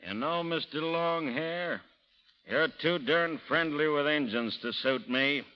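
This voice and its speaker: A highish-voiced army sergeant, sneering and spoiling for a fight. It is Western radio drama, in muffled vintage sound. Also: male voice, slow, clear, resonant tone. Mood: taunting. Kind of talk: old-time radio drama acting. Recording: muffled old radio recording.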